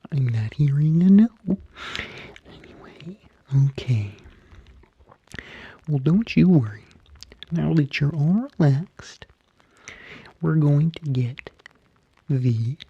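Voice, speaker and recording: An eerie, courteous yet menacing barber creature, acted by a man, murmurs in a very dark, soft tone. The words come extremely slowly, with halting pauses and a breathy half-whisper, as in an ASMR roleplay. The close recording sounds slightly muffled. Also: a little high, no echo, heavily acted. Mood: soothing, creepy. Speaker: ASMR performer.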